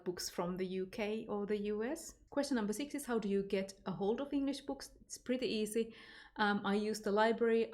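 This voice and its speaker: A woman's voice: mid-range voice, darkish tone. A woman from Finland with a Finnish accent, talking casually in a book vlog and answering tag questions with loose fillers and restarts. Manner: chatty, unscripted, with fillers and restarts. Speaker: book vlogger.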